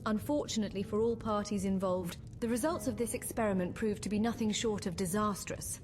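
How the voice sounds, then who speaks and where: A woman plays a bookish, courtly female mage from a fantasy video game. She speaks in a formal, eloquent and measured way at an everyday womanly pitch. Game music plays clearly behind her. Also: close to an everyday voice. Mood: measured, storytelling. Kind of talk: scripted fantasy game dialogue.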